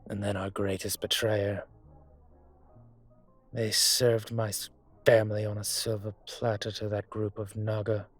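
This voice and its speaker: Male actor as dragon crime boss, slow and measured, husky, hesitant pauses, brooding, polite, vengeful, intimate audio drama, faint music. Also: fairly low pitch, flat delivery. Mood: somber, bitter. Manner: measured, hesitant, pausing often. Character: dragon mafia boss, brooding, courteous, vengeful on a dinner date. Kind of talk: audio roleplay drama, intimate dinner conversation.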